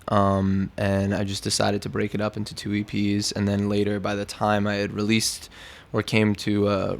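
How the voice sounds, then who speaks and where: Low, creaky-voiced American man, casual. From New York, United States. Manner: laid-back, slangy, conversational. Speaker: musician being interviewed. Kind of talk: casual interview chat.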